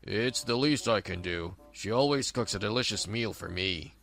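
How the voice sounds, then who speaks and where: A woman's voice: extremely low pitch, normal speed, a bit bright. A woman playing a kindly, helpful older father in a manga dub.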